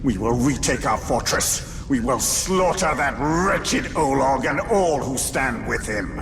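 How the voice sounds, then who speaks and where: An actor playing a wrathful, domineering warlord in a fantasy video game, with a commanding, declamatory delivery. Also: male voice, medium pitch, lively intonation, takes it slowly, creaky. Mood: vengeful. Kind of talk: video game dramatic acting. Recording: loud music behind.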